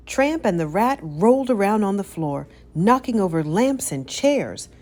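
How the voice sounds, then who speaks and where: A woman narrating a children's story as an expressive read-aloud. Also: mid-range voice, unhurried, soft background music.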